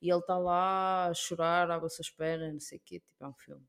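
A woman with a European Portuguese accent chatting casually with friends as a podcast co-host, slangy and animated, dropping in English words. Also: fairly low pitch, fairly quick. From Portugal, with African roots. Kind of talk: casual chat among friends.

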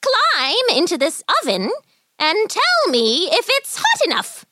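Woman voicing a high-pitched, cackling old storybook witch. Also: huge pitch swings, slow, bright, forceful tone, exaggerated voice. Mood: sharp, impatient. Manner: cackling, commanding, menacing. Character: gleeful, short-tempered old female witch who cooks children. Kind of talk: children's storybook character voice.

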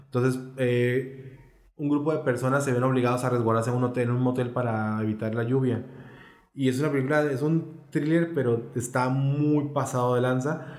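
A man's voice: medium pitch, steady tone, normal speed, darkish tone. Mexican man chatting casually in a roomy space. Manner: colloquial, slangy. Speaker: film discussion podcast host. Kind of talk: casual chat about films. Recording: roomy, echoing sound.

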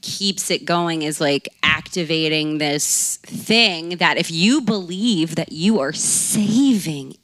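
A woman's voice: low voice, slow pace, few pauses, a bit bright. A woman talking casually about politics as a panelist. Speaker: podcast panelist.

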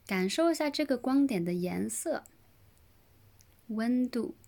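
A woman leading a guided relaxation meditation in a gentle, soothing manner, with pauses between short phrases. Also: on the high side, animated, vocal fry. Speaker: yoga nidra meditation guide.

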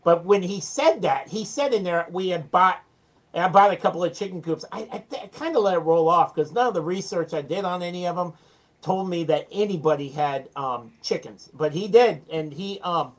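A man's voice: sits high, moderate pace. Chatty American man telling business anecdotes. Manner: chatty, anecdotal, self-deprecating. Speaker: interview guest, small business owner. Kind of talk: casual interview storytelling.

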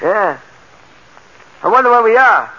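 An actor playing an eager, excitable junior crook in a 1940s radio crime drama, earnest and slangy. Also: male voice, sits high, unhurried, full character voice. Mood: shaken. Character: eager, excitable junior male crook, loyal heist sidekick. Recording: muffled, noisy old-time radio recording.

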